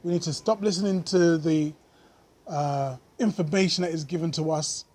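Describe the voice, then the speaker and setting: Brief: male vlogger, slightly high and husky voice, earnest unscripted commentary. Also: darkish tone. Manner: earnest, thoughtful, with frequent pauses and fillers.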